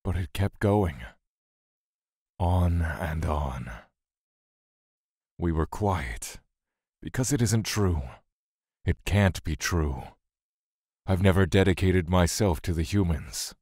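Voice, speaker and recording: A man's voice: low-pitched, a little mellow, breathy edge, no echo, clean studio sound, exaggerated voice. A man playing a weary, brooding god who solemnly recounts his love and exile in an audio drama, with music underneath. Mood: somber, reflective. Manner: slow, solemn, brooding storytelling.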